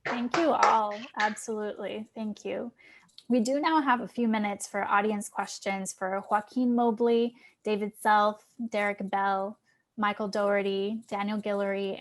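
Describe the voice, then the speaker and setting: A woman with a medium-pitched voice moderates an online panel. She gives practical instructions and reads questions out to the speakers in an orderly hosting style.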